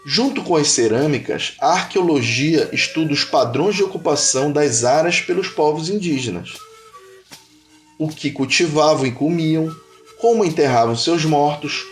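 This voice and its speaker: Male narrator, Brazilian accent, slightly high voice, measured explainer delivery over music. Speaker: narrator of an educational cartoon. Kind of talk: scripted educational narration.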